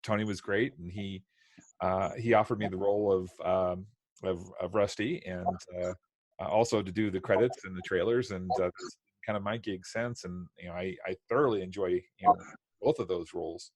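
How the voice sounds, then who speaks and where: A man's voice: sits low, steady tone, moderate pace, a little mellow, dry recording. A man who works as a volunteer voice actor chatting casually on a live stream, often repeating words as he goes. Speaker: volunteer audio drama voice actor.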